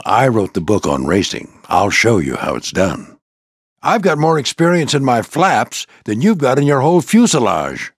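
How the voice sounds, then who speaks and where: An older American man voicing a proud, gruff old military plane who mentors a squadron in a video game, with a gravelly voice and a commanding, folksy manner. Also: mid-range voice, no echo, clean studio sound, full character voice. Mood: wry, confident. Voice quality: gravelly, husky. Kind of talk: scripted video game character lines.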